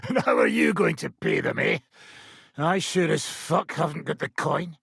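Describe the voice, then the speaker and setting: An actor playing a gruff, foul-mouthed, battle-hardened medieval mercenary leader in a video game, raspy and blunt, with sardonic delivery. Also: male voice, a little high, expressive, unhurried, a bit bright, dry recording, clean studio sound, full character voice. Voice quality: raspy, gravelly. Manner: blunt, sardonic, swearing freely. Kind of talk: video game character dialogue.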